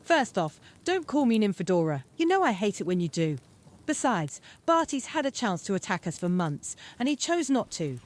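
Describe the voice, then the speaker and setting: A woman's voice: mid-range voice, very animated, normal speed, natural voice. A woman playing a frank, feisty young witch in an audio drama, plain-spoken and insistent. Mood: irritated, insistent. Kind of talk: fan audio drama acting.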